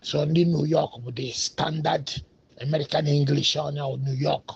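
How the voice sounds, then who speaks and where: A man's voice: a little high, flat delivery, moderate pace, creaky, slightly rough. A man with a Nigerian Igbo accent, a native Igbo speaker, giving rambling casual commentary that switches between English and Igbo with many hesitant fillers. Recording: dull lo-fi recording.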